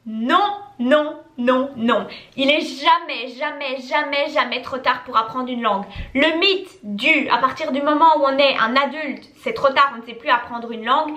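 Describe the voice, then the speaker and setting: A woman's voice: high voice, moderate pace, pushed and bright. Chatty young woman in an echoey room. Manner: chatty, repeats words for emphasis. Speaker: YouTuber answering viewer questions. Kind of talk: casual chat to camera.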